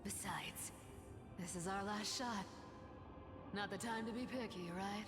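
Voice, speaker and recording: A woman's voice: medium pitch, unhurried, natural voice. An actress playing a sly, teasing female trickster demigod in a game. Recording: game audio with reverb and music.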